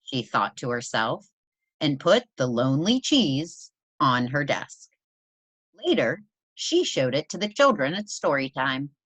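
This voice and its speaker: A woman with a low voice reads a picture book to children. Her delivery is unhurried and highly animated in pitch, with deliberate stress on key words. The sound is close and dry. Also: husky, no room sound. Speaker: presenter reading a children's picture book aloud. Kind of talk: expressive read-aloud storytelling for children.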